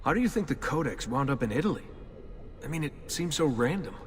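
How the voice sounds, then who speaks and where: A man's voice: a little high, very animated, quick pace, slight background noise. A man playing a wry, questioning young everyman hero in a video game, speaking casually. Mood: wry doubt. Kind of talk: video game cutscene dialogue. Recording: music behind the voice.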